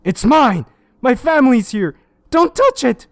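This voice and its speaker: A man voicing a desperate, grieving older detective who shouts frantically. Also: very high-pitched, big pitch swings, a little slow, no room sound, studio quality, pitched above the performer's own voice, exaggerated voice. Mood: frantic.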